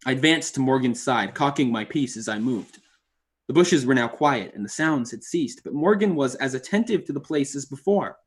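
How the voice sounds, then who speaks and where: A man performs an articulate, rattled hunter telling a strange tale. He speaks in formal, literary phrases with dramatic emphasis, in the manner of an old radio drama, at a medium pitch. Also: animated, moderate pace, close to an everyday voice. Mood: tense, measured. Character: male hunter recounting an eerie encounter, rattled but articulate. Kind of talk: old time radio style dramatic narration.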